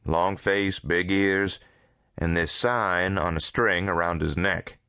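A wry, dogged lawman played by a male narrator in an audiobook. He talks casually and persistently in a dry manner, with a deep, slow voice. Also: steady tone, bright, forceful tone, smooth, no room sound, full character voice. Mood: dry storytelling. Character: dogged, wry lawman coaxing an old friend.

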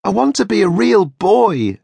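A wilful little wooden puppet boy speaks in a high, childlike, earnest voice, performed by a man. There is a soft huskiness in the sound. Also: big pitch swings, unhurried, vocal fry, slightly rough, no echo, exaggerated voice. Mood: eager.

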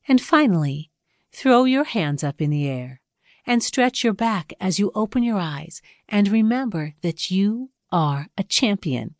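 A woman narrating a scripted guided meditation in a measured, soothing, instructional way with pauses between phrases. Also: medium pitch, darkish tone, no room sound, clean studio sound.

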